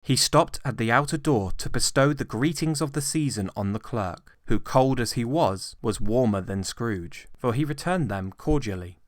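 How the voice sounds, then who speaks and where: A man's voice: medium pitch, lively intonation, normal speed, hardly any pauses, no echo, studio quality. A British man reading a classic story as a dramatic, scripted narration. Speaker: actor reading an audiobook.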